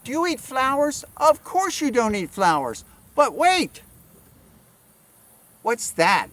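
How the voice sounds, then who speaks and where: A man's voice: extremely high pitch, wildly swooping pitch, slow pace, fairly bright tone. A man giving playful gardening asides for children, asking and answering his own questions theatrically. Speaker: garden educator guest.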